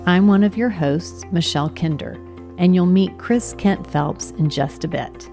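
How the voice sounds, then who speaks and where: A woman from Guatemala hosting a podcast, upbeat and encouraging, quick to laugh. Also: lowish voice, warm tone, faint music behind. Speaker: podcast host.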